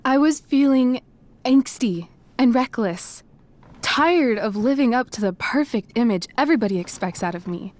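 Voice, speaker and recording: A woman's voice: fairly high pitch, animated, normal speed, darkish tone, natural voice. An actress playing a poised, persuasive teenage schoolgirl with an earnest, slightly theatrical delivery in a video game drama. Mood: confessional.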